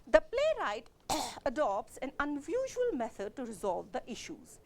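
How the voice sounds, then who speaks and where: A woman's voice: high voice, wildly swooping pitch, unhurried, creaky, a little hiss or hum. A woman with a Kashmiri accent giving a literature lecture as a college lecturer, explaining steadily with frequent fillers and self-corrections.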